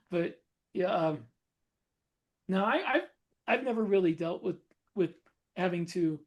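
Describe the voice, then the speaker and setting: Middle-aged man co-host, relaxed and rambling, casual chat. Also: sits high, slow pace. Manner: relaxed, rambling, self-correcting. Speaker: podcast co-host, author.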